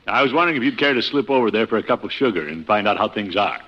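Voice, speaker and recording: A meddling, sheepish middle-aged uncle, played by a man in a 1950s radio comedy. His talk swings up and down, on a dull old recording with clear background noise. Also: fairly low pitch, expressive, rapid-fire, lightly acted. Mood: fretful, sheepish.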